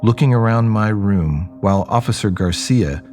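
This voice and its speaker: A man's voice: on the low side, moderate pace, darkish tone, soft background music, close to an everyday voice. An actor playing a jaded, rebellious young man who tells his own story in a wry, conversational, rambling way. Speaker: narrator and lead character of an audio drama. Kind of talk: first-person dramatic narration, conversational storytelling.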